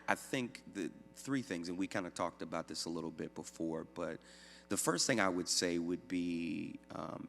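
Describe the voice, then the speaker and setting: Give me a deep-voiced young adult pastor from upstate New York sharing his story with self-deprecating humor. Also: a bit bright, a little hiss or hum. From Syracuse, New York. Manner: easygoing, many "uh" fillers, self-deprecating humor. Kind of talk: church talk, personal testimony. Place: church room. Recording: long hall reverb.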